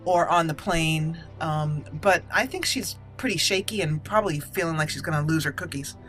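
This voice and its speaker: Bright-voiced woman doing gameplay commentary. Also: low-pitched, normal speed, faint music behind. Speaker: let's play host. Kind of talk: casual gameplay commentary with roleplay.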